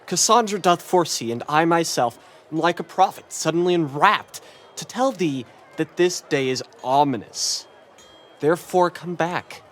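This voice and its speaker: A man's voice: fairly high pitch, lively intonation, moderate pace, a bit bright. A man playing a worried, pleading old king and father in a Shakespearean audio drama.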